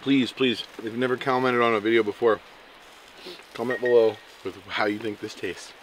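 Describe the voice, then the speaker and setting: A man's voice: mid-range voice, fairly even intonation, normal speed, slight background noise. A man chatting casually to viewers as an outdoor camping and fishing vlogger, with a folksy, playful, exuberant manner full of quirky slang. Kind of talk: casual vlog chat to viewers. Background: music.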